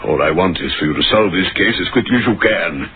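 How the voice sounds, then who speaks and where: An older law firm boss, low voice, tired and polite, muffled old radio play. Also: male voice, expressive, quick pace. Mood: weary, resigned. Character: weary, courteous older male senior law partner. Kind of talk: 1950s radio drama acting. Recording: muffled, noisy vintage radio broadcast.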